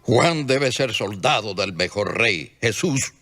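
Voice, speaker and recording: A man's voice: on the low side, animated, takes it slowly, sharp tone, soft background music, exaggerated voice. A man voicing an authoritative preacher, firm and solemn. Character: Juan de Ávila, authoritative preacher.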